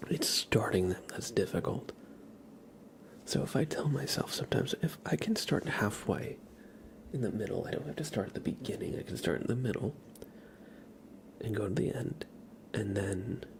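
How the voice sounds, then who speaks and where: Softly whispering man with creaky edges. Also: medium pitch, a little hiss or hum. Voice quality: soft breathy whisper, often unvoiced. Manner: rambling, conversational, addresses chat by name. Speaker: ASMR live streamer. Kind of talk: ASMR, whispered casual chat with viewers.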